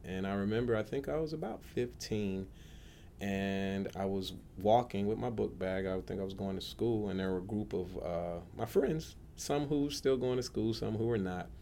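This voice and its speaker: Deep-voiced man telling his personal story. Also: normal speed, gravelly, mellow, slight background noise. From United States, Atlanta area. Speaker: public defender sharing personal story. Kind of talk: personal testimony, autobiographical storytelling.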